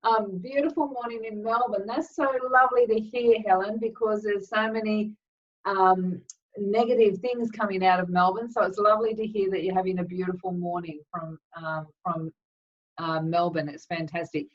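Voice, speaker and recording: A woman energy healer hosting a live webinar with a slightly croaky throat, chatting casually with lots of ums and greeting viewers by name. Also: mid-range voice, fairly even intonation, moderate pace. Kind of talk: casual live-stream talk. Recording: distant, dull lo-fi webinar audio.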